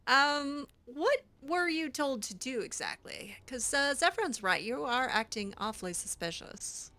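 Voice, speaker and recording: A woman's voice: high voice, very animated, a little slow, bright, forceful tone. A woman playing a role-play video game, talking casually in character with a playful, sassy, assertive manner. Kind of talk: casual in-character gameplay commentary.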